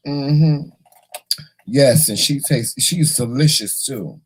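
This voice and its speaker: A hoarse man hosting a casual morning livestream, chatting and teasing his viewers in a folksy way. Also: mid-range voice, slow pace, poor audio. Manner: folksy, teasing, talks to the chat; repeats words and restarts often. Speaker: morning show host.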